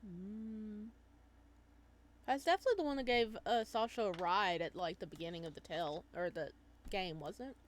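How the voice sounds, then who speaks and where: A woman hosting a gaming video, reading a visual novel aloud and adding casual, animated commentary. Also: medium pitch, normal speed, a little hiss or hum.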